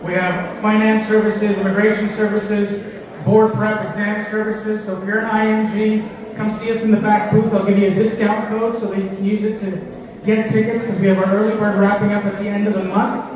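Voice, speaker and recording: A man from Sri Lanka with a high, fairly flat voice pitches an event to a conference crowd in an upbeat, promotional way. He sounds distant in a large, reverberant hall. A crowd murmurs behind him. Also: brisk. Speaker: event company representative. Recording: echoey hall, distant mic, crowd noise. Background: crowd chatter.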